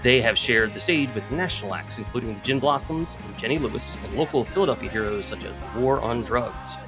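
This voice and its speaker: Man, podcast co-host, medium pitch, scripted intro read, clear music bed. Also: animated, brisk. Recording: rock music behind the voice.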